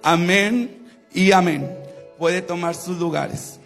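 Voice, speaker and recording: A man's voice: sits high, slow, fairly bright tone, faint music behind. A man in his twenties preaching in Spanish, leading the congregation and praying fervently with repeated invocations. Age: 20s. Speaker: guest preacher. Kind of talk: evangelical sermon and prayer.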